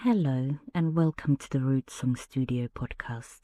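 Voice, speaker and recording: Soft, slow Finnish woman, low hushed voice. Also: no room sound. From Finland. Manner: slow, hushed, gentle delivery. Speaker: podcast host. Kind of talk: soft-spoken bedtime storytelling.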